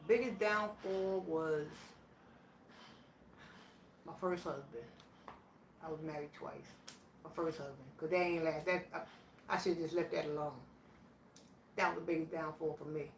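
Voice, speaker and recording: A woman's voice: sits low, steady tone. A woman in her sixties, from Virginia, casually working through a question challenge in a plainspoken, reflective way. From Virginia, USA. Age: 60s. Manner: plainspoken, slow and reflective, answering questions aloud. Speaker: vlogger. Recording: echoey room, voice from a distance, faint background noise.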